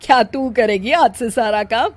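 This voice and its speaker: A woman's voice: a little high, normal speed, lightly acted. Woman acting a grumbling, weary older mother-in-law. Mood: surprised, sardonic. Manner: complaining, sardonic. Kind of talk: animated moral story dialogue.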